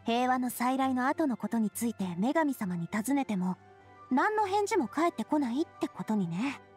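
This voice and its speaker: A lively, high-voiced confident young woman. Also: normal speed, faint music behind. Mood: matter-of-fact. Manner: breezy, self-assured, casual. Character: Navia, confident cheerful young woman, boss made fairytale king. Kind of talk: Japanese anime game voice acting.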